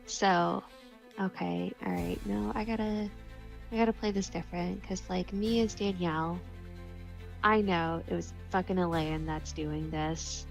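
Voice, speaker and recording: A woman's voice: on the low side, fairly even intonation, a little slow, gravelly, soft background music, low-quality recording. A woman chatting casually as a player in a tabletop role-playing game.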